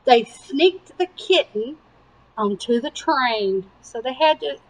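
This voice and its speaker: A woman reading a picture book aloud to young children with animated emphasis and simple explanatory asides. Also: sits high, takes it slowly, rough recording. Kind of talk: slow, expressive children's read-aloud.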